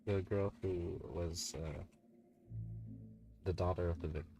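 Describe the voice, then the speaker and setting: A man's voice: low-pitched, steady tone, unhurried, gravelly. A man chatting casually as a co-streamer during a game. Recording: music behind the voice.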